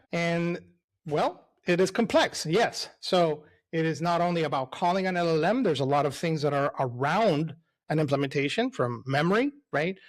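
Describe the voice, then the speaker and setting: A middle-aged man from El Salvador, a company CEO, giving a casual, enthusiastic webinar presentation with frequent fillers and "right?" asides. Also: fairly high pitch.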